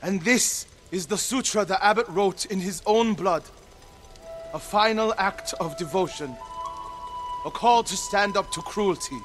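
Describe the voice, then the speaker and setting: Earnest young warrior monk, medieval Japan game drama, solemn, measured, grieving, over music and rain. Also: male voice, high voice, a bit bright. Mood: rousing, impassioned. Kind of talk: dramatic video game voice acting.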